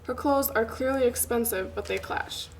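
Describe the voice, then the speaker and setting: A young woman playing a wry college student who loves gossip. Her voice is fairly high, reading a drama script aloud with slight noise in the background. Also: normal speed, natural voice. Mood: gossipy. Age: young adult. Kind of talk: table read of a pilot script.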